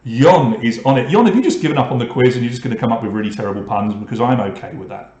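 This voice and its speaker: A man from England hosts an online pub quiz, reading out questions and running scores. He breaks off often to tease the players with dry jokes. His voice sits at a middle pitch and echoes around the room. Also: lively intonation, fast talker, a little mellow, dull audio. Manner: reads questions and scores, joking asides to players. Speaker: quiz host.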